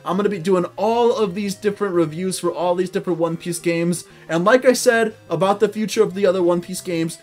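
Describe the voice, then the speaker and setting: Excitable young man with a high voice. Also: brisk, few pauses, faint music behind. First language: English. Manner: excitable, cheering loudly at victories. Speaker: gaming video host. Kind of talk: let's-play commentary. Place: indoor room at a desk.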